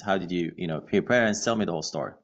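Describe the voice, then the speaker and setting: A man's voice: deep voice, racing, lo-fi sound. A man working as a podcast interviewer, casually prompting with short, informal questions and interjections.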